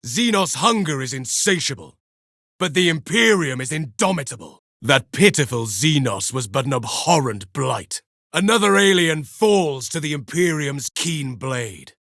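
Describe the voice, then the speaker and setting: Grim zealous armored super-soldier. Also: male voice, fairly high pitch, expressive, measured pace, fairly bright tone, dry recording, studio quality. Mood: grim contempt. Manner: declaiming solemn insults over slain foes. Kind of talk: video game combat voice lines.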